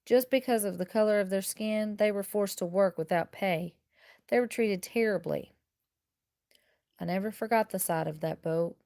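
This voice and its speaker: A woman reading a children's picture book aloud like a teacher, with expressive, deliberate and emphatic phrasing. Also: medium pitch, no echo. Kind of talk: expressive children's storybook read-aloud in first person.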